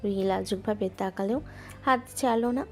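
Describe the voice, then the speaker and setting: A woman gently narrating a scripted romantic audio story. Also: medium pitch, moderate pace. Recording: music bed underneath.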